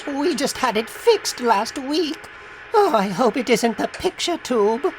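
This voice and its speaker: A woman's voice: high-pitched, expressive, takes it slowly, a little hiss or hum, rough recording. A woman playing a sweet, genteel, fussy and anxious elderly aunt in a comic book dramatization. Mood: fretful.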